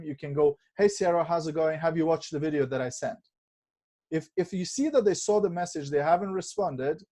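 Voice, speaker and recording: A man from Lithuania hosting a video call training, talking in a relaxed, conversational way with many fillers. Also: a little high. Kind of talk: casual coaching on a video call.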